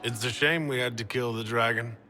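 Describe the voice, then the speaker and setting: An actor playing a confident, easygoing heroic leader in a video game cutscene. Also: male voice, mid-range voice, steady tone, fairly quick, sharp tone, a little hiss or hum, close to an everyday voice. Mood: curious.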